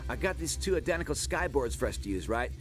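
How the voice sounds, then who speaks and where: An actor playing a cocky, slangy racer issuing challenges in a video game cutscene. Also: male voice, medium pitch, expressive, quick pace, over loud music, natural voice.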